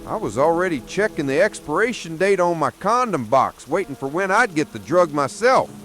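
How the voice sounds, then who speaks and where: A man's voice: a little high, big pitch swings, normal speed, fairly bright tone. A man playing a blunt, tough old Vietnam veteran grandfather telling his story in a horror radio drama. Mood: wry, fond. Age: older. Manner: blunt, salty military storytelling.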